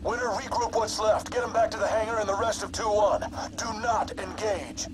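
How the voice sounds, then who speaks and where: Gruff male marine captain, acted, curt orders, fairly high pitch, video game drama, clear background noise. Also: fairly even intonation, normal speed, natural voice. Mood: angry, commanding. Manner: curt, commanding.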